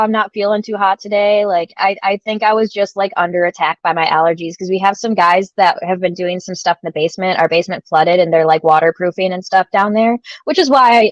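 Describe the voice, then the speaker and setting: A woman from central Illinois chatting casually on a livestream call, sniffly and stuffed up from allergies, talking fast and laughing often. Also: mid-range voice, no room sound, muffled recording. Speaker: guest co-host on a crypto stream. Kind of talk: casual chat.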